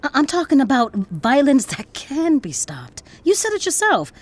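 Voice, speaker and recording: A husky young woman's voice moves freely up and down in pitch. an earnest, troubled and principled daughter in an audio drama. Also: big pitch swings, moderate pace, no echo. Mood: anguished, pressing.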